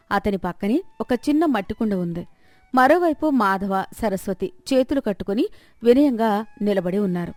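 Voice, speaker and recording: A woman's voice: on the high side, darkish tone, dry recording. A woman narrating a scripted moral story as a storyteller.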